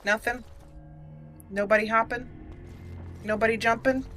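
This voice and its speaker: A woman's voice: fairly high pitch, steady tone, a little slow, fairly bright tone, soft background music. A woman giving casual let's play commentary, thinking aloud in a chatty way as she plays. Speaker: game streamer narrating a roleplay playthrough.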